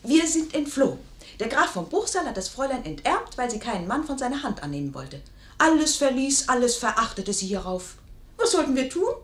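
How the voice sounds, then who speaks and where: Radio drama in a roomy space: coy young maid, very high voice, theatrical, evasive. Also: female voice, big pitch swings, brisk. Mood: confiding, dramatic. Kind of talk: classical German stage-play radio acting.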